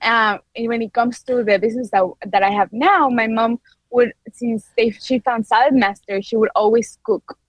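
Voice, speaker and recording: A woman's voice: fairly high pitch, fairly even intonation, normal speed, no echo, poor audio. A woman in her twenties from Ecuador with a Spanish accent, eagerly telling her story as an interview guest, laughing often and restarting sentences. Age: 20s. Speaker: guest entrepreneur. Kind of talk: casual interview chat.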